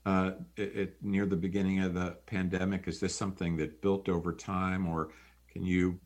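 A man interviewing a guest, asking measured questions and searching for his words. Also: sits low, little pitch movement, darkish tone.

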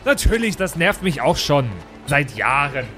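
A man's voice: fairly high pitch, big pitch swings, measured pace, pushed and bright, faint music behind, exaggerated voice. A man playing a hot-headed, cocky brawler of a brother in an improvised fantasy role-play, provocative and defiant. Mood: defiant, annoyed.

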